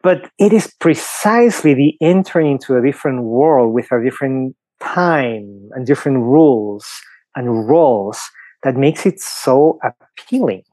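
Man, Peruvian Spanish accent, middle-aged priest, thoughtful, mid pitch, dry sound. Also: expressive, measured pace, warm tone, no room sound. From Peru. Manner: thoughtful, explains with examples, frequent fillers. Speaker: priest guest. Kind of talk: podcast interview answer.

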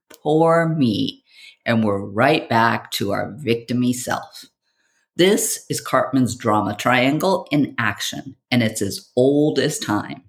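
Slow, theatrical woman telling a motivational story. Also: rumbling low. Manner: theatrical storytelling with rhetorical questions and dramatic pauses. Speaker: podcast host. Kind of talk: scripted motivational monologue.

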